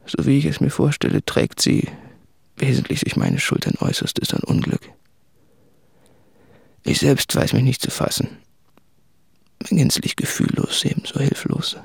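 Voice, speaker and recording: An anguished, husky, creaky-voiced writer. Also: male voice, medium pitch, huge pitch swings, moderate pace, pauses often, full character voice. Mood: bleak, confessional. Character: anguished, guilt-ridden male writer addressing his fiancée. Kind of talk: literary radio play monologue from letters and diaries.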